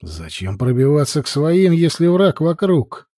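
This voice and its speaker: A man's voice: fairly high pitch, very animated, measured pace, mellow, dry recording, studio quality. A man reading a novel aloud as scripted audiobook narration.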